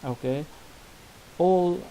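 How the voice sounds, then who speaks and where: Slow, halting Syrian Arabic-accented man. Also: high voice, warm tone, slight background noise, low-quality recording. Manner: halting, many restarts and fillers, non-native grammar. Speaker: student presenting a project. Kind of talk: screen-recorded software demo.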